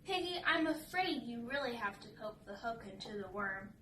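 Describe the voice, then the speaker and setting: A woman's voice: fairly high pitch, animated, normal speed, pushed and bright. A woman voicing a patient, encouraging father pig who instructs his son in a picture book. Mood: helpful. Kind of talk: picture book read-aloud. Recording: roomy, distant mic with faint noise.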